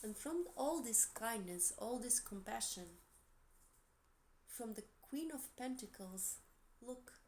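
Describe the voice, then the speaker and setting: A woman with a Portuguese accent, gentle and encouraging, giving a tarot reading with soft asides and self-corrections. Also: a little high, expressive, slow, a little hiss or hum. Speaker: tarot reader.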